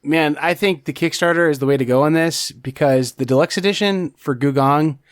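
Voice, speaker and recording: A man's voice: a little high, brisk, dry recording, clean studio sound. A man co-hosting a board game podcast, talking casually and rambling with plenty of restarts. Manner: rambling, many restarts and fillers. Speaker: podcast co-host. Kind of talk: casual chat.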